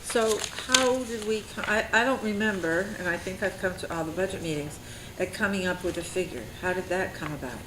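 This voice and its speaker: A woman's voice: mid-range voice, fairly quick. A woman on a committee hesitantly questioning a figure at a public meeting. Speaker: committee member. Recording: echoey room from a distance, noise.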